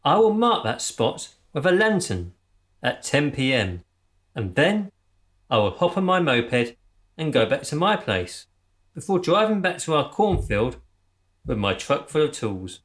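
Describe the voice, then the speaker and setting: A man's voice: fairly high pitch, very animated, measured pace, fairly bright tone. A man playing an earnest, pompous gang leader who is easily flustered, in a radio comedy drama. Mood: pompous, businesslike. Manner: stammering and spluttering when worked up, otherwise pompous and precise.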